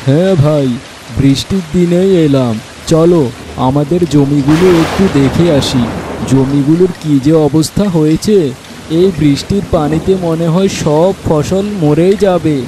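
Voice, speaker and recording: A man playing a kindly, pious village farmer and neighbour in an animated moral cartoon, speaking earnestly and consolingly. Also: fairly high pitch, unhurried, natural voice. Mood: friendly concern. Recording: loud rain noise behind.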